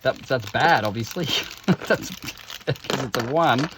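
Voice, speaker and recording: A man's voice: medium pitch, takes it slowly, noisy background. A man hosting an unboxing video with casual, informal commentary, full of jokey asides and self-interruptions. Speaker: host of tabletop game unboxing videos.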